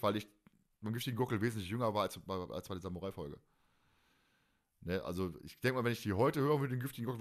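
Man in his forties, colloquial rambler. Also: medium pitch. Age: 40s. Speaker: fan podcast host. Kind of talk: casual chat.

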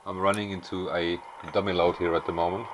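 Clear background noise sits under a man's very low voice, caught on a camera mic in a small workshop. He gives an unscripted technical walkthrough as a repairer. His manner is matter-of-fact, working things out aloud as he goes. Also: level tone, a little fast. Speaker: amateur radio repairer presenting. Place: small indoor workshop at an electronics bench.

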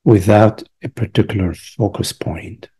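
A man guiding a meditation as its teacher, speaking softly and breathily in a hushed, unhurried way with long pauses between short phrases. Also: fairly low pitch, husky. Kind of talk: guided meditation.

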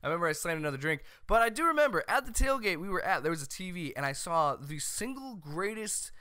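An American man in his thirties hosting a sports show, loud and opinionated in a comedic commentary style. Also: sits high, very animated, fast, clean tone, dry recording, clean studio sound. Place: small home room, indoors. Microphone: close desk microphone on boom arm.

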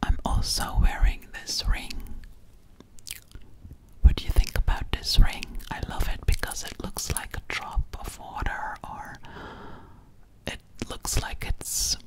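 A young woman whispering ASMR up close to the mic, hushed, often repeating words. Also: slow pace. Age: young adult. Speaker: ASMR creator. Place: small bedroom, indoors. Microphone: close mic near the camera, touched at times.

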